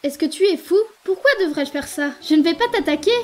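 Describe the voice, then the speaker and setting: A woman voicing a gentle, stubborn young boy in a dramatic comic dub, earnest and insistent. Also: high voice, expressive, fairly quick. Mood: indignant. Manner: earnest, soft-hearted, insistent.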